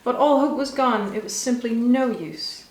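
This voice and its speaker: A woman reading a rhyming children's story aloud with expressive, rhythmic delivery. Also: on the high side, normal speed. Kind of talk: scripted storybook narration for children. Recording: echoey room.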